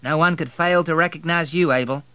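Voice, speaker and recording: A man's voice: fairly high pitch, fairly quick, bright, forceful tone, no room sound, voice pushed up. A man voicing a poised, teasing Southern woman who is a wealthy divorcee. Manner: arch, teasing.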